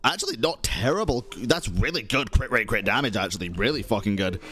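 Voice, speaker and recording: A young man streaming a game, reacting excitably, swearing often and talking to his chat. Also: mid-range voice, fairly quick. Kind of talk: casual game commentary. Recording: game music and sound underneath.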